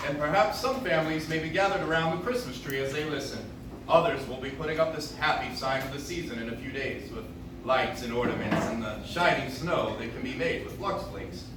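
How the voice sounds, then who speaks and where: A man's voice: fairly high pitch, distant mic, lightly acted. A man playing a genial, formal 1940s radio producer who hosts and narrates, recorded from the audience in an echoey room. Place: coffee house stage, recorded from audience. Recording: echoey room from afar, clear noise.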